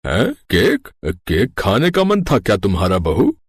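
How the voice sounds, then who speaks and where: A man voicing a genial, puzzled older father-in-law in a cartoon story, husky and drawling with a hesitant, jovial manner. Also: on the low side, huge pitch swings, a little slow, no echo, clean studio sound, full character voice.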